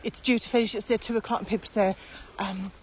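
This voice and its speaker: A woman's voice: mid-range voice, steady tone, fast. A woman with a British accent co-hosting a podcast in casual, questioning conversation while out walking. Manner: questioning, acts out quotes. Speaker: podcast co-host and trainer. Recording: noisy walking recording with ambient sound.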